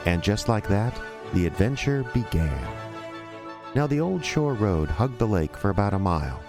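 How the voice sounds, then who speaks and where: A man acting as a wistful, wry storyteller, narrating a childhood adventure for a radio drama in a folksy, measured way. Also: fairly low pitch, sing-song. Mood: wry, lighthearted. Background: music.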